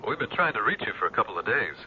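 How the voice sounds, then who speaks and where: An actor playing a measured, polite police detective in a 1970s radio drama. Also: male voice, fairly low pitch, very animated, pushed and bright, slightly rough, full character voice. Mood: polite, cautious. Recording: muffled, faintly noisy old radio broadcast.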